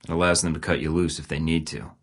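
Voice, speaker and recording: A man's voice: rumbling low, flat delivery, quick pace. An actor playing a terse, guarded male secret agent in a video game, with dry, clipped, sardonic delivery.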